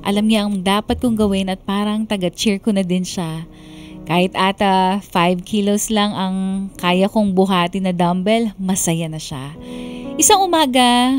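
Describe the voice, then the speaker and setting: Lady acting young woman narrating her love story, bemused n confiding, normal pitch, soft music bg. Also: normal speed, close to an everyday voice. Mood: reminiscing. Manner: confiding, wondering. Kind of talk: first-person radio drama narration.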